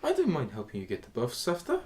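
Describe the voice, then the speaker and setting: A woman's voice: deep voice, wildly swooping pitch, quick pace, a little mellow. A woman playing a caring, curious grandchild in a radio drama, sympathetic and questioning.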